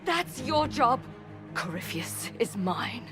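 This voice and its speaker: A woman's voice: on the high side, sing-song, slow, over music. An actress playing a selfless, fierce female warrior in a video game, urgent and decisive in her delivery. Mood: resolute, bittersweet. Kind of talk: dramatic video game acting.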